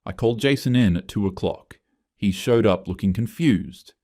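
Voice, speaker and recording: Male narrator for dramatic first-person stories, very low register, dry close sound. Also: moderate pace, dark tone, no room sound. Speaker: story narrator.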